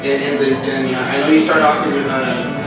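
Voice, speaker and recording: A man's voice: mid-range voice, flat delivery, moderate pace. A man hosting a casual interview, upbeat and filler-prone. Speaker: host, interviewer. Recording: echoey room, far from mic, music behind.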